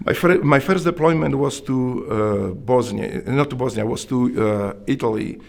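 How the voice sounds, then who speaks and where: A middle-aged man with a Polish accent, earnestly telling his story in an interview, often halting and restarting his sentences. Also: medium pitch, gravelly. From Poland. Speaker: interviewee, Navy SEAL veteran.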